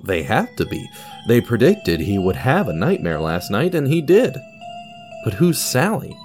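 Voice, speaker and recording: Animated low-voiced man narrating radio theatre. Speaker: narrator of an audio drama series.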